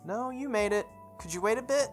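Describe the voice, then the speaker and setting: A woman's voice: fairly high pitch, brisk, soft background music, pitched above the performer's own voice, natural voice. A woman voicing a modest, polite teenage schoolgirl eager to please, in visual novel dialogue. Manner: polite, gentle wording.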